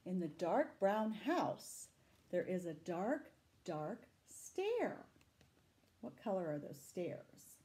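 A middle-aged woman reading a picture book aloud to children, dramatic and deliberate, adding asides and questions for her young listeners. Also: lowish voice, big pitch swings, dark tone. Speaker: library storytime reader. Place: small room indoors. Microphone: camera a short distance away.

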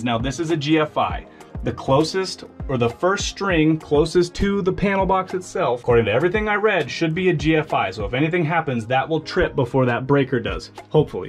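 A young man giving a chatty, step-by-step walkthrough of his shed, recorded on a handheld camera. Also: mid-range voice. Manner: relaxed, chatty, explains step by step. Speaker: homestead vlogger. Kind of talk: casual how-to walkthrough. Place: small wooden shed, outdoors in hills.

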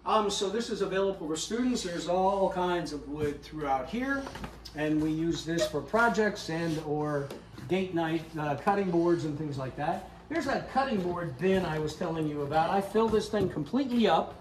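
A man's voice: a little high, animated, moderate pace, slight background noise, low-quality recording. Chatty older man touring a wood shop. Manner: chatty, explanatory, joking. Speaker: woodworking school owner. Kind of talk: casual shop tour talk. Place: large woodworking shop, indoors. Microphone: camera held by interviewer.

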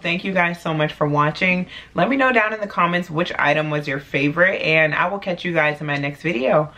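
A young woman with a deep voice chatting casually about her clothing haul in her bedroom, playful and laid-back. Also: fairly quick, pushed and bright. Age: young adult. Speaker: vlogger. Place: small bedroom, indoors. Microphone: camera at desk distance.

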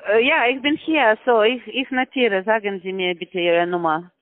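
A man as a phone scammer, speaking halting, broken German patiently and repetitively on a phone call. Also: high-pitched, steady tone. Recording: tinny phone line.

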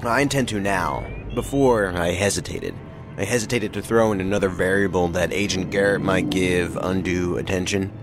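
A veteran federal investigator in a sci-fi audio drama, with music clearly behind him. The voice is very deep, and he reasons aloud in measured, reflective, terse phrases. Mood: measured, thoughtful. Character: seasoned, thoughtful male federal investigator.

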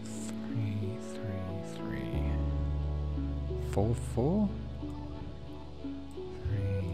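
Low-voiced man with lively pitch, gaming commentary. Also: very slow, gravelly. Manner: thinks aloud, muttering through choices. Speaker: let's play host. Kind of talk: casual gameplay commentary, reading game text aloud. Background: game music.

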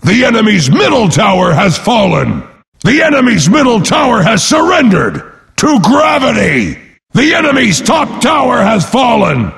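An actor voicing a boastful, brash brute warrior as a video game announcer, bellowing pompously in a gravelly voice. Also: male voice, very high voice, sing-song, a little slow, a bit bright, long reverb tail, full character voice. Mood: booming, forceful. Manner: pompous, speaks of himself in third person.

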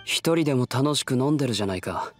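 A man voicing a cool, brusque young nobleman in an anime game, deadpan and curt. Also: medium pitch, quick pace, faint music behind, close to an everyday voice. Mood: cold, curt. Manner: deadpan, terse. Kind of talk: Japanese anime game voice acting.

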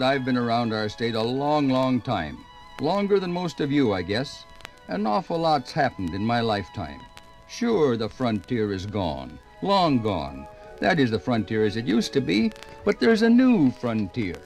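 Folksy old-timer, plain-spoken and hopeful, swinging pitch, music behind, 1950s radio record. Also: male voice, vocal fry. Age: older. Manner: chatty, folksy, addressing listeners directly. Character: folksy old Minnesota working man, homespun and hopeful. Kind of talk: 1950s radio drama narration.

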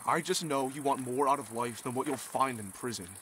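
An actor playing an uneasy police officer in a radio drama. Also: male voice, mid-range voice, fairly quick, background noise, lightly acted. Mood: urgent, reasoning. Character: uneasy male police officer held at gunpoint.

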